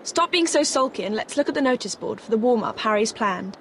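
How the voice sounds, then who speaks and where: A woman's voice: fairly high pitch, lively intonation, fairly quick, a little hiss or hum. An actress playing a confident, determined teenage witch at school in a video game.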